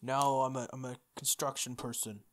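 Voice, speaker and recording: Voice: mid-range voice, level tone, moderate pace. A playthrough host reading visual novel text aloud in a playful way, with casual asides. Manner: playful, interjects informal comments on the script. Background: game music.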